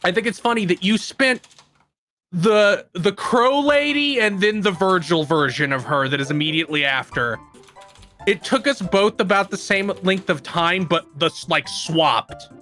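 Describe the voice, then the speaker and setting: Bright, higher-pitched man chatting games. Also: normal speed, soft background music. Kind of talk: casual gaming chat.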